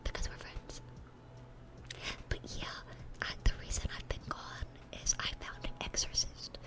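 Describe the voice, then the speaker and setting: A woman's voice: unhurried, lightly acted. A woman voicing a sweet, dorky demon girl in a whispered ASMR roleplay, rambling and stammering in an eager, awkward way. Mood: eager, nervous.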